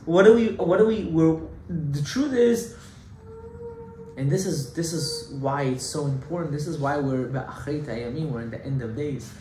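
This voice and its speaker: A man giving an animated Torah class as a rabbi, emphatic and full of rhetorical questions, mixing Hebrew terms into his English. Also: a little high, normal speed. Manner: emphatic, rhetorical questions, acts out objections loudly. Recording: echoey room with faint background noise.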